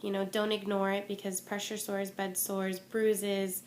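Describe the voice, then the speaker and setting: A woman's voice: mid-range voice, little pitch movement, a little slow. A young woman chatting candidly to camera from home in a casual vlog update, her voice a bit stuffy and worn from a cold. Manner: chatty and candid, many ums and restarts. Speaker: vlogger. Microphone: phone or webcam.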